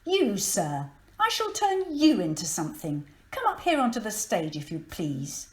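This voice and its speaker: Woman playing a loud, wicked pantomime witch. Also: mid-range voice, huge pitch swings, reverberant. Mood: bossy. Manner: theatrical, loud, drawn-out commanding delivery. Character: wicked cackling pantomime witch, gleefully menacing and bossy. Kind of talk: children's storybook character reading.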